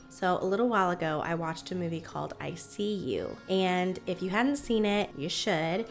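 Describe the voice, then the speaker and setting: A hoarse woman in her thirties, telling a creepy story to her viewers in a chatty, animated way. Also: medium pitch. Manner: chatty, animated, reacts with little laughs. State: hoarse, recovering from illness. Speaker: spooky history YouTuber. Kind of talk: casual storytelling to camera.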